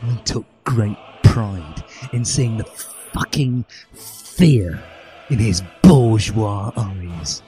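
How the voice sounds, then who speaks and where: A man's voice: on the low side, expressive, extremely slow, dark tone. Man narrating a mock-dramatic comic story. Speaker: podcast reader. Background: music.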